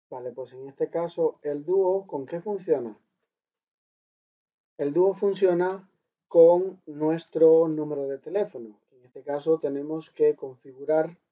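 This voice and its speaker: A man instructor giving a step-by-step smartphone tutorial in an explanatory way, often asking questions and answering them himself, as a voice-over with dull, lo-fi sound. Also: on the high side. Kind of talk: step-by-step smartphone tutorial, talking while demonstrating. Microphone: voice-over on a screen recording.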